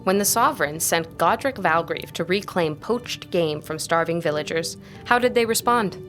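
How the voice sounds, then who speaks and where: An actress playing an earnest, courteous tutor in an audio drama, speaking in a measured way. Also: female voice, on the low side, lively intonation, a bit bright, faint music behind. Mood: instructive. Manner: measured, didactic, polite.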